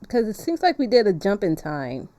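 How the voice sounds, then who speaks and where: A woman's voice: mid-range voice, very animated, fairly quick, dark tone. A woman streaming a game, chatting casually and playfully as she reacts aloud. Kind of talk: casual live-stream chat while playing a visual novel.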